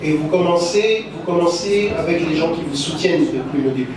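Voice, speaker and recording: A man's voice: fairly high pitch, a little fast. A man giving unscripted press conference answers, hesitant, often repeating words as he searches for the next one. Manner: hesitant, stammering repetitions. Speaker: project partner answering press questions. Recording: echoey hall, distant mic, crowd noise.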